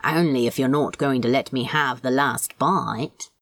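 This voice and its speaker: A woman's voice: very deep voice, unhurried, voice pushed down. A woman voicing a fond, prickly male demon in a story, drawling and hesitant, easily exasperated. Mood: fond, casual.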